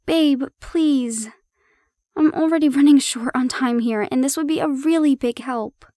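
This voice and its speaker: A woman playing a harried but affectionate young girlfriend in an audio roleplay, expressive, teasing and coaxing. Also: high-pitched, unhurried, no room sound, clean studio sound. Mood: coaxing, busy.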